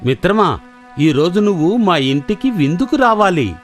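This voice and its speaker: A man acts out an amiable, henpecked crocodile from a kids' cartoon. His pitch moves around a lot. Music plays underneath. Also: very animated, moderate pace. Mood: apologetic. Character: friendly male crocodile, reluctant henpecked husband. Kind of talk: children's cartoon story voice acting. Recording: music behind the voice.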